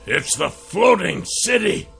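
A gruff dwarf warrior, put on by a narrator with a growling, blunt delivery, pitched a little above the narrator's own talk. The lines come with a gravelly edge and slow weight. Faint music sits under the storybook reading. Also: male voice, sing-song, pushed and bright, heavily acted.

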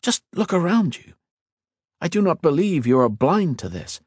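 A man voicing an earnest, calm male mage lord who speaks evenly and respectfully. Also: fairly high pitch, normal speed, breathy edge, dry recording, studio quality, pitched above the performer's own voice. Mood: persuading.

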